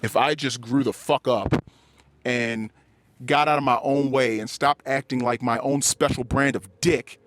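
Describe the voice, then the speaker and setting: A man's voice: mid-range voice, fairly even intonation, unhurried, fairly bright tone, slight background noise. A middle-aged man hosting a podcast, delivering an unscripted personal rant with emphatic, repetitive phrasing and swearing. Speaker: podcast host. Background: music.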